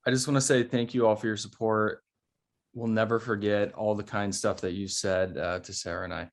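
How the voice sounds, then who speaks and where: A man acts an expectant father, the dad-joke type, earnest and slightly awkward. The voice sits fairly low and is read plainly over a video call. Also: level tone, fairly quick, lightly acted. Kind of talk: short film table read.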